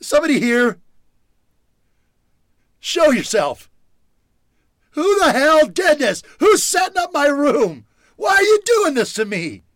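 Woman acting a stammering, dramatic horror heroine, widely swinging pitch. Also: sing-song, takes it slowly, pauses often, no room sound, exaggerated voice. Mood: terrified, angry. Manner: stammering, breathless exclamations, dramatic. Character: frightened, groggy woman waking up trapped in her bedroom. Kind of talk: old-time radio horror acting.

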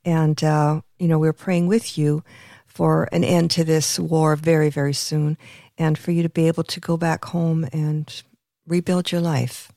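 A woman's voice: low-pitched, little pitch movement, a little slow, warm tone, no room sound, studio quality. A woman hosting an interview, speaking effusively with frequent restarts. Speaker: podcast host. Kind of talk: interview over a call.

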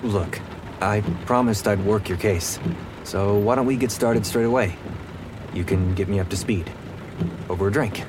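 A man's voice: on the low side, normal speed, pauses often, background noise, close to an everyday voice. An actor playing a world-weary but sympathetic 1930s American policeman in a noir audio drama, with a casual, suave manner and an American accent. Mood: coaxing.

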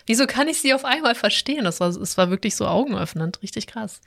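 A woman's voice: fairly high pitch, animated, quick pace, vocal fry, fairly bright tone, no echo. Young woman, casual storytelling, acts out her reactions, dry close recording. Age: young adult. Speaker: podcast co-host, doctoral student. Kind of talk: casual chat.

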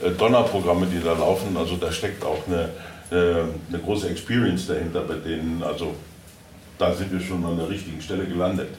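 A man speaking spontaneously on a panel as a producer, haltingly searching for words and repeating them, recorded in an echoey room. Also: sits low, steady tone, darkish tone. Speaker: audio drama producer on a convention panel. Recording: echoey room, distant mic, faint noise.

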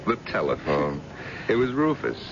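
Casting: sly, belittling husband for radio play, very low voice, big melodic swings, muffled noisy recording. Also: male voice, sing-song, moderate pace. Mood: smugly casual. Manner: mock-genial, needling. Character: menacing, suspicious husband, callous and belittling. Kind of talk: radio drama acting.

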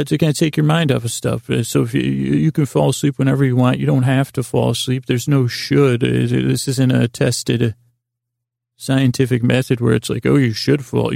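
A man with a mellow, deeply creaky voice rambles through a bedtime podcast monologue. His delivery stays on a flat, narrow pitch while his sentences tangle and circle back with goofy wordplay. It is the talk of a host helping listeners drift off to sleep. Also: no room sound, studio quality. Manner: meandering, tangled sentences that trail and double back.

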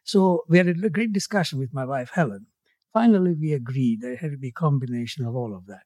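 A man in his eighties from Tanzania, a guest in an interview, speaking in a measured way with his voice falling away at the ends of phrases. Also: mid-range voice, animated, mellow, no echo. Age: 80s. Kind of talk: reflective interview conversation.

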